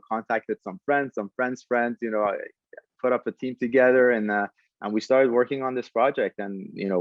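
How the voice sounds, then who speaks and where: A man giving conversational answers as a podcast guest, speaking in a halting way with frequent pauses. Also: lowish voice, no echo, lo-fi sound. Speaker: podcast guest, tech entrepreneur.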